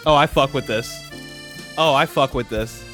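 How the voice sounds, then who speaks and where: A man's voice: medium pitch, moderate pace, fairly bright tone. A man streaming casual live reaction commentary, talking in a slangy, profane way. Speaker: streamer and host. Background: game showcase audio and music.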